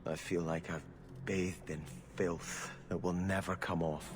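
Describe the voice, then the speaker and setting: An actor playing a devout, conflicted young exiled prince in a video game, with a formal, confessional manner. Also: male voice, sits low, flat delivery, measured pace, music in the background. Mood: troubled. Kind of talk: video game cutscene acting.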